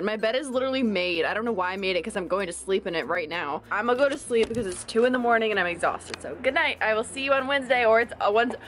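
A young woman, a college student, chatting casually to a handheld camera in her dorm room, bubbly with quick asides. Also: on the high side, fairly bright tone, a little hiss or hum. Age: young adult. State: stuffed up nose from allergies. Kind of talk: casual vlog chat to camera. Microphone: camera held at arm's length.

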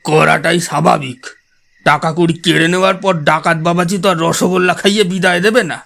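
A man's voice: a little high, fairly even intonation, fairly quick, creaky, fairly bright tone. A man playing an irascible, skeptical headmaster in an audio drama, blustering and scolding with sarcasm. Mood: scornful, wary. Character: irascible skeptical headmaster, scornful of ghost believers.

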